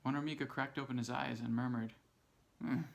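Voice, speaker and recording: A man reading a children's story aloud as an expressive narrator. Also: medium pitch, fast talker, creaky. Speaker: storybook reader.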